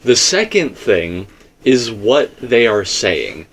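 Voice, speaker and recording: A man's voice: medium pitch, big pitch swings, slow. A man hosting a casual fan discussion, explaining things informally and speaking straight to the viewers. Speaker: host of a fan theory discussion.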